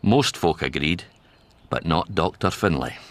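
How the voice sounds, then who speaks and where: The narrator of a radio drama. He has a low Scottish voice and tells the story in a wry, knowing way. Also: lively intonation, measured pace, fairly bright tone, heavily acted.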